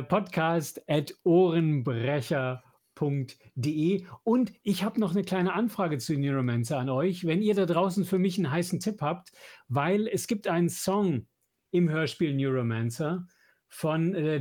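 A man's voice: a little high, unhurried, a little mellow. A man co-hosting a podcast, chatting casually and explaining things with enthusiasm. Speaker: podcast co-host. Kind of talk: casual chat about audio dramas and films.

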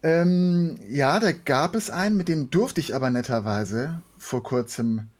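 A man's voice: a little high, a little slow, darkish tone. A man, talking casually as a voice actor being interviewed. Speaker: guest, voice actor.

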